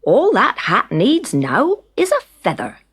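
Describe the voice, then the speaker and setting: A woman voicing a gruff but kind male farmer in a storybook, blunt and emphatic like a fatherly scold. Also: a little high, huge pitch swings, takes it slowly, voice pushed up, heavily acted. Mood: mock scolding.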